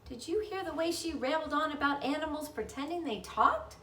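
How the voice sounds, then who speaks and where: A woman's voice: high-pitched, expressive, brisk, fairly bright tone, echoey room, far from the mic, slight background noise, pitched above the performer's own voice. A woman voicing a worried, proper storybook mother who questions with puzzled concern.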